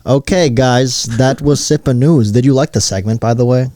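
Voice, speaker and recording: A man's voice: mid-range voice, moderate pace, warm tone, no room sound, studio quality. A man co-hosting a casual podcast, laid-back and slangy, tossing teasing questions at his friend. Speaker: podcast co-host.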